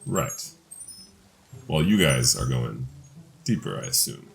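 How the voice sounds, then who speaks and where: A man's voice: a bass voice, sing-song, slow pace, creaky. A man talking casually as a player in a tabletop game.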